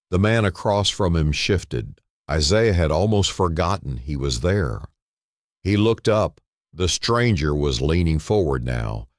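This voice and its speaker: A man narrating a dramatic story in a solemn, deliberate way, in short phrases with dramatic pauses. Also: sits low, dry recording, clean studio sound.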